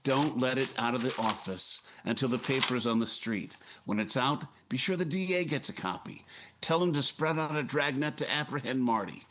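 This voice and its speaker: A man's voice: medium pitch, normal speed, fairly bright tone, a little hiss or hum, natural voice. A man playing a determined, fatalistic newspaper editor, terse and matter-of-fact, in a 1950s-style radio drama. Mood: resolute calm.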